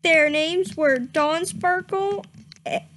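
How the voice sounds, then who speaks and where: Super high voiced girl reading a story out loud for laughs, really slow, giggly and tripping on words. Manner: giggly, often breaking into helpless laughter; stumbles over misspelled words read literally. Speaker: reader of a fan fiction story. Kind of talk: comedic dramatic reading.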